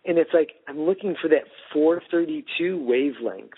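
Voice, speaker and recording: Husky man rambling over a phone line. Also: fairly high pitch, moderate pace. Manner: rambling, animated, lots of "like". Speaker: podcast co-host. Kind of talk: casual phone conversation.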